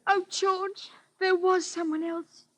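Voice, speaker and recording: High-pitched, plaintive abandoned wife. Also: female voice, little pitch movement, slow pace, no room sound. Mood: distressed, reproachful. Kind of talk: 1940s radio acting.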